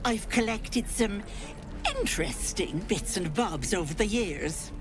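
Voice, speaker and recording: A woman's voice: medium pitch, expressive, a little slow, natural voice. An actress voicing a doting, sing-song old woman, a hag in disguise in a video game. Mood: sympathetic. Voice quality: fussing, sing-song, grandmotherly. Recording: game audio with music behind.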